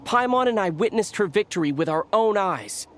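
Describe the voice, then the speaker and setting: Calm young adventurer. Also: male voice, sits high, slight background noise. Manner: polite and measured. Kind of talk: video game voice acting.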